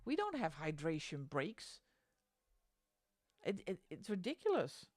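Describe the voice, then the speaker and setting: A woman's voice: lowish voice, huge pitch swings, a little slow, a little mellow, no echo, clean studio sound. A woman streamer chatting casually while she colors, given to exasperated rants, speaking into a desk microphone. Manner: ranting, exasperated asides; sings along to music between chat.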